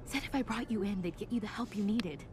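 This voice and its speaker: An actress playing an earnest, regretful paramedic and loyal friend in a video game. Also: female voice, medium pitch, fast talker, lightly acted. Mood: regretful, worried. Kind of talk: dramatic video game voice acting.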